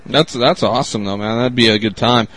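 A man's voice: mid-range voice, fairly quick, a bit bright, rough recording. A man from the US Northwest co-hosting a podcast in a casual, laid-back way, laughing often. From Spokane area, US Northwest. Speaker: podcast co-host.

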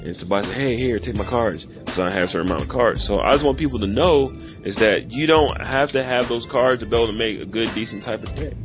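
A man's voice: mid-range voice, fast, hardly any pauses. A man hosting a podcast in casual chat, with laid-back slang and rambling talk. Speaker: podcast host. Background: music.

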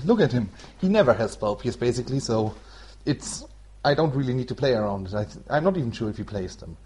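A man from Germany with a German accent, hosting a solo podcast in an explanatory, somewhat halting non-native way. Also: mid-range voice, dark tone, slightly rough. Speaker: podcast host. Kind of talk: explanatory solo podcast talk about card game strategy.

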